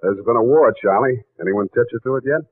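An actor playing a dry, sardonic hardboiled private detective in a 1940s radio drama. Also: male voice, medium pitch, fast talker, husky, no echo, lightly acted. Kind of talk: 1940s radio acting and narration. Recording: tinny vintage 1940s radio broadcast.

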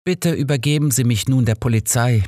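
Man acting embittered woman, audiobook murderess, soft deliberate confession, dry close sound. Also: medium pitch, no echo, close to an everyday voice. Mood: resigned.

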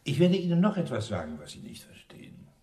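An actor playing a dogged, sarcastic police inspector who questions in a probing, dry way, in radio crime drama style. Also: male voice, fairly high pitch, huge pitch swings, fast, mellow, recorded from a distance. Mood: sardonic, pressing.

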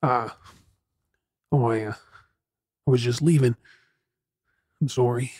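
Man acting a husky, troubled Australian boyfriend. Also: medium pitch, fairly even intonation, slow pace, darkish tone, dry recording, clean studio sound. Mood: remorseful, hushed. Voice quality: husky, breathy. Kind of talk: ASMR audio drama acting.

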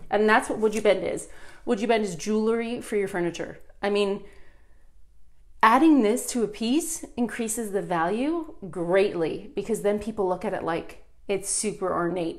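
A woman in her thirties with a Canadian accent talks her way through a home painting tutorial. Her voice sits at an ordinary middle pitch, and she chats freely and asks her viewers questions while she works. She is recorded indoors by a camera set up nearby. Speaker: furniture painter.

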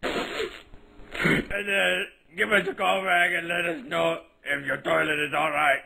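A man voicing a mischievous, gruff prank caller in a cartoon, bluffing in a halting, fake-formal way. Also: on the high side, flat delivery, sharp tone, heavily acted, low-quality recording. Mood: sly, fake-official. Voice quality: gravelly. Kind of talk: cartoon phone-message acting.

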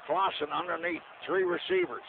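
A man with a fairly high voice calls football play-by-play in clipped, partisan fragments. The sound is a narrow, phone-like radio broadcast with clear noise behind him. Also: sing-song, moderate pace. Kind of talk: live American football play-by-play commentary.

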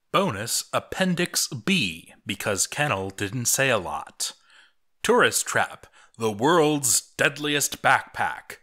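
A man reading a written chronicle aloud as a narrator, with deliberate, theatrical emphasis on names. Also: on the low side, bright, forceful tone. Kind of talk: scripted narration, dramatic read-aloud.

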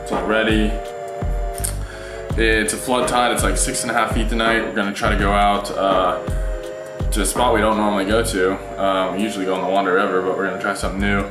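A man's voice: on the low side, little pitch movement, fairly quick, over music. A young man vlogging casually about fishing, rambling with fillers, recorded first in a garage and then out on the water. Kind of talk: casual vlog talk. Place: home garage, then a paddle board out on the marsh. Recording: echoey garage, then windy outdoor camera audio.

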